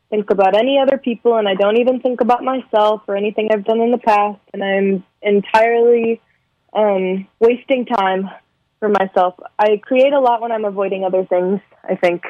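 A young woman singer-songwriter from California, talking thoughtfully in a remote interview, with many pauses and ums over a muffled call line. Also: medium pitch, no echo. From San Fernando Valley, California. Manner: soft-spoken, thoughtful, hesitant with many ums.

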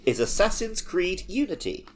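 A man's voice: high voice, very animated, normal speed, a crowd in the background. A man hosting a comedy game show podcast in a casual, playfully strict and teasing way. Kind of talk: casual comic quizmastering.

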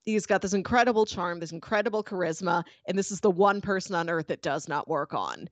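A woman's voice: fairly low pitch, expressive, a little fast, no echo. A woman hosting a podcast, chatting casually and enthusiastically as she reviews a TV show. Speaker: podcast host.

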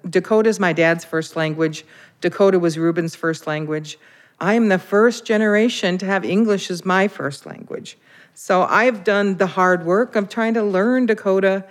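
Thoughtful woman making a measured argument. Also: mid-range voice. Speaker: interviewee, Dakota language learner. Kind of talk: interview commentary.

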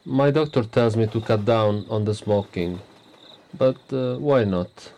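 A man's voice: on the low side, measured pace, mellow. An actor playing a blunt, self-satisfied prime minister in his sixties, wry and teasing, in a radio drama. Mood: self-assured. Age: 60s. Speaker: politician character.

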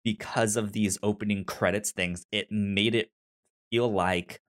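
A man with a stutter chatting casually as a review podcast co-host, in a halting, informal way. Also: fairly low pitch, steady tone. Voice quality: stutter, repeating sounds and words.

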